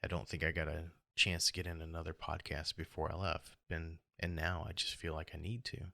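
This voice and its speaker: A middle-aged American man with a hoarse, gravelly voice, talking alone in a reflective, halting and rambling way as a casual podcast host. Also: extremely low pitch, level tone, sharp tone, dry recording, clean studio sound. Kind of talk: casual solo podcast monologue.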